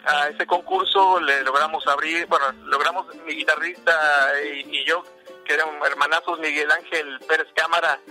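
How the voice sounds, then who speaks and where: A man's voice: a little high, steady tone, moderate pace, bright, forceful tone, soft background music, poor audio. A man from Veracruz, a musician guest in a podcast interview, talking casually with many fillers and restarts. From Veracruz, Mexico.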